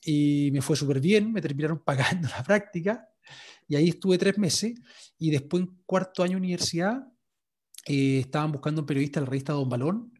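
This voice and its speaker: A sports journalist being interviewed, telling his story in a relaxed way, with many fillers and restarts. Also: fairly high pitch, a little fast, husky. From Chile. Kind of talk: interview answers.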